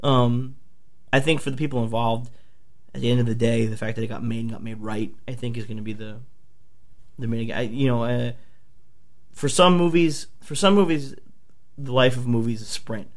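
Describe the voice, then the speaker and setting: A man on a podcast, hoarse from a flu, talking excitedly and swearing often as he raves about movies. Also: mid-range voice, normal speed, long pauses. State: a few bourbons in. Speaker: podcast host. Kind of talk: casual movie talk.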